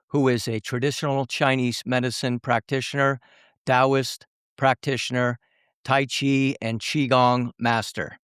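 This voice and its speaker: A man hosting a podcast interview, speaking courteously in halting phrases with pauses. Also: mid-range voice, fairly bright tone, smooth, no room sound, studio quality. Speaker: podcast host.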